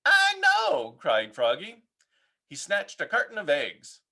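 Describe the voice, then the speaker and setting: A man reading a children's story aloud as an expressive narrator, playful with his words. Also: fairly high pitch, measured pace. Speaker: storybook reader.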